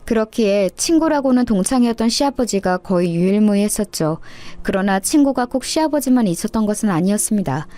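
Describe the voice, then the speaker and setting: A woman's voice: mid-range voice, animated, a little fast, mellow. A woman reading a scripted first-person story as a narrator in a radio-story style.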